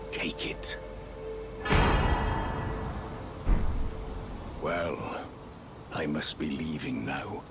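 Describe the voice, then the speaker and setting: A man playing a grave, honourable warrior in a fantasy game, speaking in a deliberate, courtly way. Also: low voice, full character voice. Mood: grateful, warm farewell. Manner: deliberate, courtly, drops into breathy near-whispers. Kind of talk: dramatic fantasy game acting. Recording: voice under loud background music.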